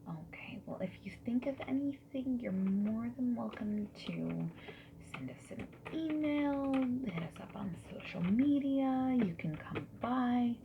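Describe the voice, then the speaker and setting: Soft, whispery woman doing slow ASMR. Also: a little high, expressive. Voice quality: soft, breathy, often dropping to a whisper. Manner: gentle, friendly, unhurried customer-service politeness. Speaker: ASMR performer playing a survey interviewer. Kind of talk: soft-spoken ASMR roleplay. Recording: lo-fi, noisy with keyboard typing and clicks. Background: mechanical keyboard typing and clicks.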